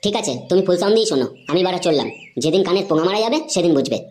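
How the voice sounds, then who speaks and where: A woman's voice: fairly low pitch, animated, very fast, close to an everyday voice. Woman as grumbling cartoon lad, West Bengali accent, brash slang, breakneck delivery, noticeable background noise. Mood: exasperated. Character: hot-tempered foul-mouthed cartoon boy, quick to grumble. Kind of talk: comedy cartoon dialogue. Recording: clearly noisy background.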